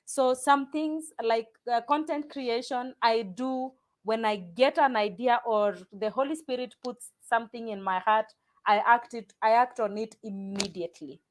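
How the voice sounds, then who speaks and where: Woman in a relaxed interview. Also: a little high, unhurried. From Kenya. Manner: restarts sentences, repeats words, laughs easily. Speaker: pastor and guest. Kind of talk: casual interview conversation.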